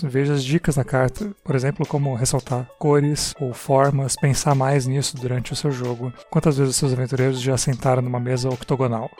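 A man's voice: mid-range voice, darkish tone. A man with a Brazilian accent giving a prepared, explanatory monologue as a podcast guest. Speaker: podcast guest, game creator.

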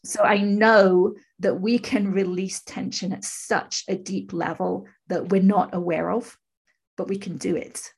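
A woman in her fifties with a husky, creaky voice, earnestly telling her personal story as an interview guest. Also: mid-range voice, flat delivery, measured pace, a little mellow. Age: 50s. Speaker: podcast guest, spiritual teacher.

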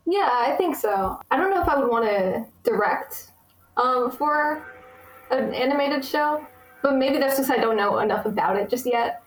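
A woman's voice: high-pitched, lively intonation, moderate pace, roomy sound, a little hiss or hum, rough recording. A young woman from the United States, talking casually and eagerly, with many fillers. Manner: chatty, many fillers, enthusiastic. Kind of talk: casual conversation.

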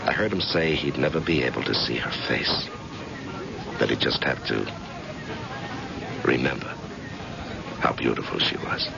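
A protective leading man, gravelly, voice swings around a lot, muffled noisy old radio recording. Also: extremely low pitch, animated, a little slow, long pauses, exaggerated voice. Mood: gentle, subdued. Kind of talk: old-time radio drama acting.

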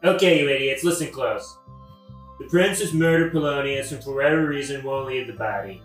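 A man's voice: medium pitch, a little fast, natural voice. An actor playing a boastful, peevish king with a pompous, superlative-heavy way of talking in a satirical audio play. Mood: bossy, irritated. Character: boastful, self-congratulating, peevish modern-slang king. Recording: roomy, distant mic, music behind.

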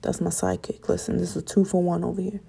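Casual late-night podcaster woman, gravelly. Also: fairly low pitch, very animated, quick pace, mellow. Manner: casual, rambling, upbeat and preachy about positivity. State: voice getting raspy from talking late. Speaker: podcast host.

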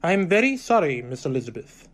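A man's voice: a little high, big pitch swings, quick pace. A pompous clergyman suitor, played by a man in a radio play. He speaks in formal little speeches, stiff and thoroughly pleased with himself. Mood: smug, earnest. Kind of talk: theatrical radio drama acting.

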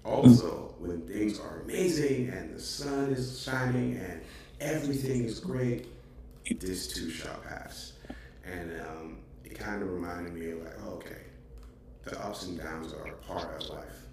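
A man's voice: sits low, a little slow, vocal fry, far from the mic. A man in his thirties, a podcast co-host, talking earnestly and reflectively in casual chat with many filler words. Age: 30s. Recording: echoey, off-mic with faint noise.

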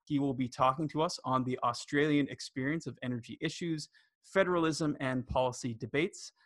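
A man hosting a podcast reads a formal guest introduction in an even, middling voice. The sound is dry and close, with little room. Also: mid-range voice, fairly quick, no room sound. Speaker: podcast host.

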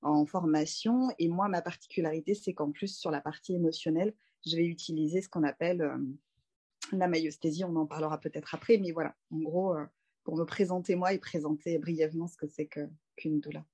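A woman in her thirties from France talks through her life in a relaxed, reflective way. Her voice sits on the low side, and she often pauses on fillers and restarts her sentences as she thinks. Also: breathy edge. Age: 30s. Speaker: doula and interview guest. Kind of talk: casual conversational interview.

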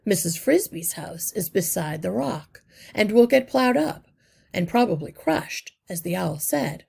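Woman doing old male rat leader, storybook, grave, courteous, husky. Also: medium pitch, lively intonation, a little mellow, exaggerated voice. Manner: measured, courteous, explanatory.

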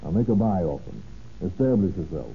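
Gruff clipped cop captain, 30s radio drama, thin hissy sound. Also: male voice, low voice, very animated, fairly quick, exaggerated voice. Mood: brisk, firm. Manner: clipped, slangy, businesslike. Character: brisk, gruff male police captain giving orders. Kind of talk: 1930s radio acting. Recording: tinny, hissy old radio broadcast.

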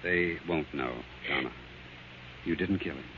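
Deep calm doctor in old radio play, muffled, faint noise. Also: male voice, measured pace. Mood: calm, probing. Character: calm, observant, quietly forgiving male doctor. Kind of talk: 1940s radio acting.

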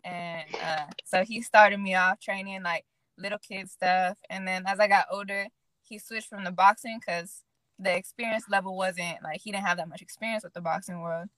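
A woman's voice: mid-range voice, moderate pace, dry recording. Young woman, Illinois-raised boxer. Casual, easygoing interview chat, dry close mic. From Illinois, USA. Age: young adult. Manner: relaxed, fills speech with "like". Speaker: guest, pro boxer.

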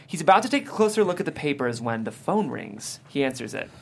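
Lively-pitched screenplay narrator. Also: quick pace, vocal fry, slight background noise. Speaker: stage-direction narrator. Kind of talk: scripted narration of screenplay action.

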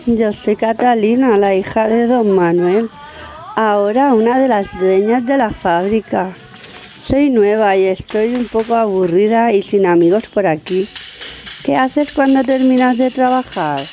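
Woman playing a mellow, flirtatious rich heiress. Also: on the high side, unhurried, soft background music, natural voice. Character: spoiled, flirtatious rich heiress, bored and forward. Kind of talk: amateur radio soap acting.

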